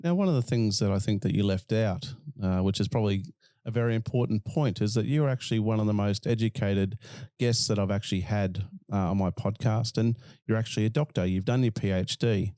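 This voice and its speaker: A man's voice: lowish voice, fairly quick, dark tone, no echo, clean studio sound. A man hosting a podcast, introducing his guest and asking long, rambling questions. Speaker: podcast host.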